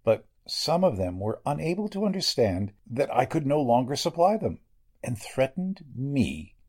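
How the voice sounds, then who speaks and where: A man's voice: medium pitch, very animated, darkish tone, husky. A man playing a sinister, murderous doctor in a 1940s-style radio drama, speaking in a formal, condescending way. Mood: calm menace. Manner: formal, measured, condescending villain speech. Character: sinister murderous male doctor, coolly menacing narcotics dealer.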